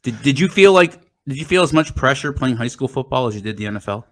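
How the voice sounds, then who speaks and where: A man's voice: mid-range voice, animated, quick pace, fairly bright tone. A man with a mid-range voice hosts a sports interview. He asks his questions eagerly and keeps an upbeat tone. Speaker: host.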